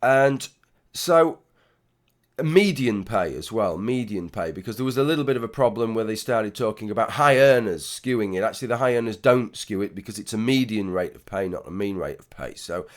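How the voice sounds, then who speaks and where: A man, hoarse and gravelly after a late night, talking through casual vlog commentary with blunt swearing and many restarts. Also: on the low side, lively intonation, normal speed. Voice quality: gravelly, husky. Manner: rambling, frequent restarts, blunt swearing, wry asides. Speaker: YouTube commentator.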